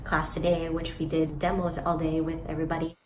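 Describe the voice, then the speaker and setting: A woman's voice: sits low, level tone, brisk. A woman acting student giving a casual spoken testimonial. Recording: echoey room with clear background noise.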